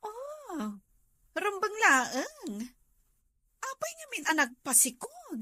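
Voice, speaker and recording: A woman's voice: very high-pitched, huge pitch swings, slow, sharp tone, no room sound, heavily acted. A woman with an Ilocano Filipino accent playing a scheming, ingratiating young romantic rival who coaxes and insinuates. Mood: insinuating. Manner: insinuating, coaxing. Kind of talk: radio-style comedy drama acting.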